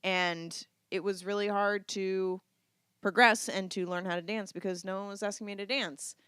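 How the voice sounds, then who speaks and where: Woman, bright crisp voice, casual podcast chat, playful, dry close recording. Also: mid-range voice, steady tone, normal speed, dry recording. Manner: playful, teasing. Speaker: podcast co-host, dance teacher. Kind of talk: casual banter and advice.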